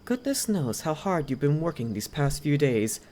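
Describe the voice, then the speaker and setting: A woman's voice: low-pitched, big pitch swings, moderate pace, warm tone. A woman voicing a devoted male head butler in an ASMR roleplay, speaking politely and formally to a noble lady. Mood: earnest, loyal. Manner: polite, deferential, formal servant speech. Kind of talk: ASMR roleplay, scripted character acting.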